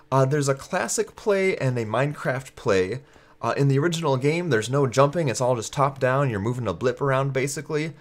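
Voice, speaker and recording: Congested man doing casual game commentary. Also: mid-range voice. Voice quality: congested, stuffy-nosed. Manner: conversational, explanatory. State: congested with a cold. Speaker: gaming video host.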